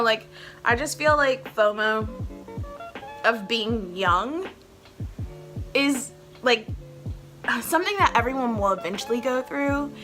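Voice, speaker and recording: A woman in her twenties chatting casually to camera at home as a vlogger host, rambling and filling her talk with "like". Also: on the high side. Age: 20s. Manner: chatty, rambling, heavy use of "like" fillers.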